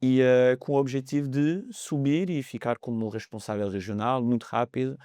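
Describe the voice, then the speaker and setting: Smooth-voiced man with French accent. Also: medium pitch, steady tone, normal speed, dark tone, no room sound, studio quality. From France. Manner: hesitant, many fillers, searches for words. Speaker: mortgage broker guest. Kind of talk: conversational podcast interview.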